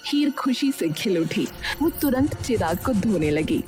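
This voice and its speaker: A woman's voice: mid-range voice, sing-song, unhurried, a little mellow. A woman narrating a cartoon moral story from a script. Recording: music behind the voice.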